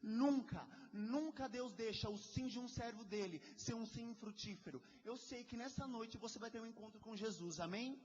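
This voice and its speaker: A man's voice: very high voice, normal speed, hardly any pauses, darkish tone. Fervent young man preaching in a reverberant hall. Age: 20s. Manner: fervent, urges the crowd with repeated calls. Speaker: lay preacher. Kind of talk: charismatic prayer group preaching. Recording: dull lo-fi sound with long hall reverb.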